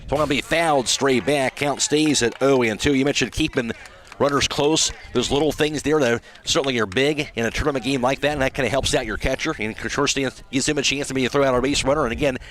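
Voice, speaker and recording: A man's voice: medium pitch, fast, a bit bright. A man calling a live baseball game play-by-play as a radio announcer. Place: outdoor ballpark radio broadcast.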